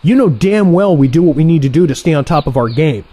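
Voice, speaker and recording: Casting: male actor for brash younger assassin, audio drama, soft-edged timbre, very animated intonation, needling and sarcastic. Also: on the high side, fast talker. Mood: irritated. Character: excitable, cocky younger assassin, male trainee turned rival. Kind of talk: audio play dialogue.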